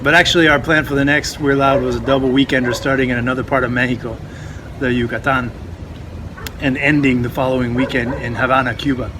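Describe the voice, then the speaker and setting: A man's voice: medium pitch, level tone, quick pace. A man co-hosting a casual prerecorded chat as a festival organizer, laid-back and swearing now and then. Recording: street noise and passing vehicles behind.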